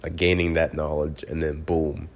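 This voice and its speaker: A man's voice: a bass voice, flat delivery, normal speed. A man with a congested, stuffy voice, talking earnestly to camera in a casual personal video reflection, often clipping and restarting words. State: congested from a cold. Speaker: vlogger.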